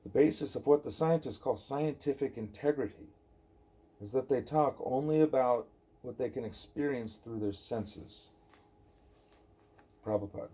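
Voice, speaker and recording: A man reading a scripted spiritual dialogue aloud as an audiobook narrator, deliberate and emphatic on rhetorical questions. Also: mid-range voice, mellow, breathy edge. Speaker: audiobook reader.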